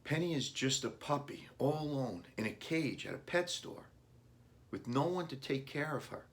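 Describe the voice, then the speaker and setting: A man's voice: mid-range voice, expressive, moderate pace, pitched above the performer's own voice, close to an everyday voice. A man voicing an unhappy, pleading ten-year-old boy in a children's storybook, plaintive and earnest. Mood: sad, worried.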